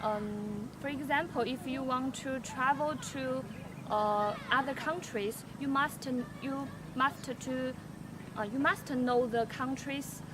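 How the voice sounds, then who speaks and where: A young woman, a native Chinese speaker, giving slow, careful answers with many fillers. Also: high-pitched. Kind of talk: prepared answers for a language project. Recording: street noise behind.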